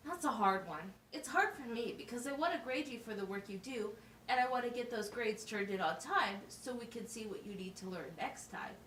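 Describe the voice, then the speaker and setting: A woman with a high, very animated voice acts as a teacher in a puppet show. She explains things in simple, deliberate words. The recording is echoey and picked up from a little way off. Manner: instructive, simple wording with deliberate enunciation. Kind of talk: slow, scripted classroom puppet show. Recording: roomy, distant mic with faint noise.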